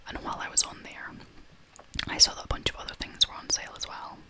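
A young adult woman speaks entirely in a whisper. She chats informally and takes her time, in the manner of an ASMR vlogger showing things she has bought. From half Scottish, half American. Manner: unhurried, informal, chatty. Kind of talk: whispered ASMR shopping haul.

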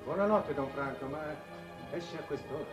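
Man playing a highish-voiced, deferential manservant. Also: normal speed, natural voice. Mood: puzzled, respectful. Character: deferential male house servant. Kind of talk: 1950s Italian television period drama acting. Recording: echoey old TV soundtrack under music.

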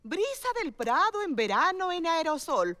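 A woman's voice: very high-pitched, big pitch swings, measured pace, sharp tone, exaggerated voice. A woman voicing a chatty, enthusiastic middle-aged shopkeeper in a children's cartoon, with a Latin American Spanish accent. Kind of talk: animated children's series dubbing.

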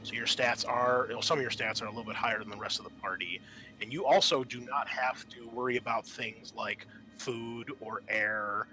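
A man running a tabletop roleplaying game as game master, narrating in a halting, improvised way. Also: mid-range voice, pushed and bright, rough recording. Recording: noticeable background noise.

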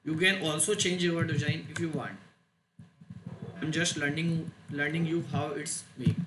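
A man giving a step-by-step software tutorial in short, halting phrases with non-native phrasing. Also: fairly high pitch, little pitch movement. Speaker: tutorial instructor. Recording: roomy echo, loud noise, clicks.

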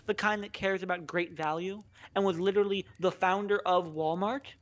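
A woman's voice: mid-range voice, steady tone, normal speed, close to an everyday voice. A woman playing an earnest, opinionated friend who tells a story matter-of-factly in a comic radio play. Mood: earnest storytelling.

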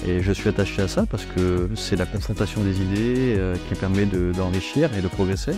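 Low-voiced middle-aged man, measured. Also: fairly even intonation. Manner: measured, with frequent hesitations. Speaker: president of a training company. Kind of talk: interview. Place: indoors with plants. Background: background music.

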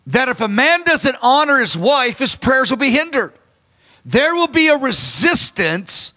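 A man in his fifties preaches with a very high, bright and forceful voice, earnest and emphatic. His words ring out through a large hall with a long reverb trailing behind them. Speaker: pastor. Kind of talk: sermon. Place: large church stage. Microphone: handheld microphone.

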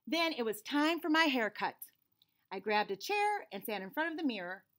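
A woman in her forties giving an expressive, dramatized storybook read-aloud for children in a small room. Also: fairly high pitch, moderate pace. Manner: animated, clearly dramatized for children.

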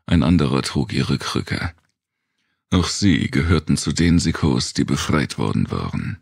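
A man narrating a dark post-apocalyptic thriller audiobook in the first person, reading from a script. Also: rumbling low, very animated, unhurried, creaky, slightly rough, no echo, studio quality.

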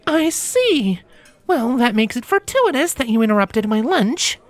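A man voicing a calm, sardonic woman who teases in a dry way, in a story. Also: very high voice, measured pace, no room sound, voice pushed up, exaggerated voice. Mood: dry, teasing. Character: dry, unflappable woman, teasing ex-wife.

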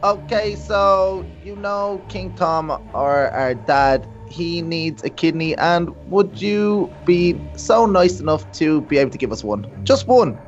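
Man playing high-voiced cocky young prince. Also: animated, takes it slowly, few pauses. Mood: coaxing. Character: cocky, wimpy young prince, defensive brother. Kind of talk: improvised children's game roleplay. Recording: dull lo-fi mic, faint music.

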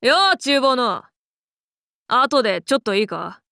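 A woman voicing a brash, rough but caring tomboy warrior in an anime game, with blunt, cocky, masculine speech. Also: a little high, animated, a little slow, sharp tone, dry recording, clean studio sound, full character voice. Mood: casual, bossy. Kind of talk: Japanese anime game character lines.

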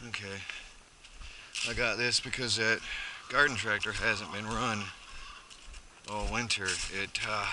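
A man's voice: lowish voice, fairly even intonation, slow, long pauses, heavy background noise. A man casually narrating his chores as a homesteading vlogger, rambling and chatty as he works outdoors with a head-mounted camera. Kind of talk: casual first-person narration while working. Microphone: head-mounted action camera.

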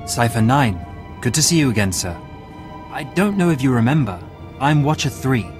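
An actor playing a dutiful young intelligence analyst in a video game, businesslike and deferential. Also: male voice, mid-range voice, lively intonation, moderate pace, over music, natural voice. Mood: earnest, businesslike. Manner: businesslike, deferential, matter-of-fact.

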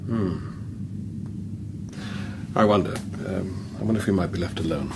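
An actor playing a thoughtful, cautious priest in a radio drama, asking gently probing questions in a hesitant way. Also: male voice, low voice, measured pace. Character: thoughtful, cautious male priest interviewing an artificial intelligence. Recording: clear background noise.

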